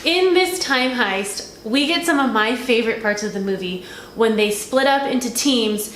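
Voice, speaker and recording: Woman, slightly high voice, casual film chat, echoey room. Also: expressive, moderate pace, fairly bright tone. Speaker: movie review host. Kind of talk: casual unscripted film discussion. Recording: roomy echo, light background noise.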